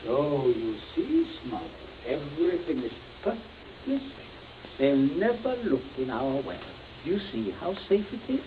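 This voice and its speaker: A man's voice: fairly high pitch, sing-song, unhurried. An actor playing a coolly menacing middle-aged father who gives curt, icily polite commands in a vintage radio suspense play. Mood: calm, smug. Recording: tinny, hissy old radio broadcast.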